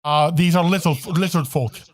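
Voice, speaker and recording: Man, game master, slightly high-pitched voice, tabletop game narration, halting and self-correcting, dry close sound. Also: no room sound.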